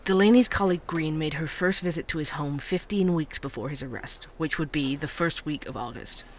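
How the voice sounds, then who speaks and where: Actor as a higher-voiced male podcast investigator. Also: brisk, natural voice. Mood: focused, explanatory. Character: thoughtful male true-crime podcast host, inquisitive, methodical. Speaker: podcast host. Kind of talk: scripted investigative podcast narration.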